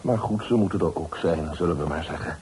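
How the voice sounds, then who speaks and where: Actor as a low-voiced, humble, gossipy man. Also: fairly quick, a little mellow, lightly acted. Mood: chatty, deferential. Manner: deferential, garrulous. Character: humble, chatty, gossipy male family acquaintance seeking his daughter. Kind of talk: Dutch period radio drama acting. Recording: noisy old radio play recording.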